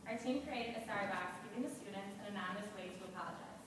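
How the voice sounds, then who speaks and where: A young woman from Buffalo, New York, recites a rehearsed student presentation at a quick clip. She is picked up from a distance in a large, booming auditorium with long reverb and steady background noise. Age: young adult. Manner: brisk, recited delivery. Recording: echoey hall, distant mic, noisy.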